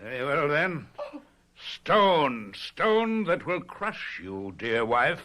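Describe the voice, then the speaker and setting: A tyrannical village lord from a 1970s radio drama. He speaks slowly and formally, with theatrical swings of pitch. His manner is imperious, pompous and menacing. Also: very animated, bright, forceful tone, a little hiss or hum, exaggerated voice. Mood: gloating menace.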